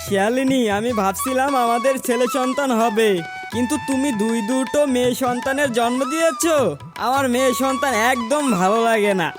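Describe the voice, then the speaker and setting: A man's voice: very high-pitched, brisk. A man voicing a harsh, angry fox father in a cartoon, scolding and threatening in a dramatic way. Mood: displeased, threatening. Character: angry, harsh male fox father who scorns daughters. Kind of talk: dramatic children's cartoon dialogue. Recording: background music under voice.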